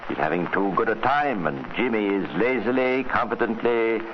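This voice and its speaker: An old radio recording, muffled and hissy with noise, carries a man acting a storyteller. He has a lowish voice and speaks wryly and knowingly, as if confiding with relish. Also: animated, normal speed, close to an everyday voice. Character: wry, knowing male storyteller addressing the listener. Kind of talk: dramatic scripted radio narration.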